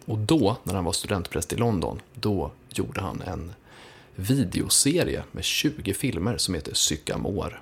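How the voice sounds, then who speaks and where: Male host, low husky voice, animated read over light music. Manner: reads a prepared introduction with occasional ehs. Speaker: podcast host. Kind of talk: scripted podcast introduction.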